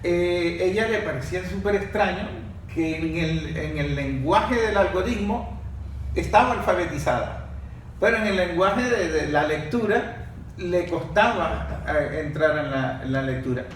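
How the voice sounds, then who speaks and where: A man's voice: high voice, measured pace, slight background noise. A man hosting a casual panel talk, reflecting and building his points step by step. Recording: echoey room, far from mic.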